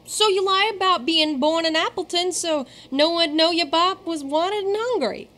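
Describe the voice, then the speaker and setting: A woman's voice: extremely high pitch, normal speed, pushed and bright. An actress playing a scruffy, street-wise orphan boy who talks in slangy street speech in a radio drama. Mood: streetwise.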